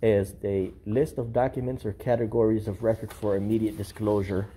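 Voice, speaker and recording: A man's voice: fairly low pitch, little pitch movement, normal speed, background noise. A man auditing public records, chatting in an upbeat, friendly way into a body-worn camera in a large office lobby. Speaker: records auditor filming a town hall visit. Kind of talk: casual on-camera chat. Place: large open-plan office lobby indoors, then outdoors.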